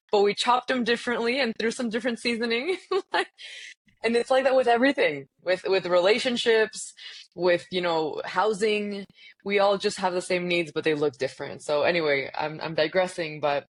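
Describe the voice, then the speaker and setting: A woman in her thirties, raised in Spain and the US, a guest in an interview, talking animatedly and laughing often. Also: on the high side. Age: 30s. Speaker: leadership coach guest. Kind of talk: casual interview.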